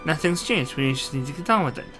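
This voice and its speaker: A man's voice: a little high, lively intonation, quick pace, over music, lightly acted. A man voicing an earnest, impulsive young royal heir in a fantasy video game, speaking emphatically. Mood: determined. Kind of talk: amateur character voicing of game dialogue.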